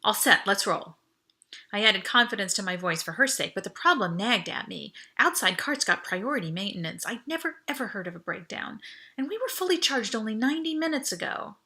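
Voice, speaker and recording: A woman reading a first-person story aloud as an expressive audiobook narrator. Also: medium pitch, a little fast. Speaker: audiobook reader.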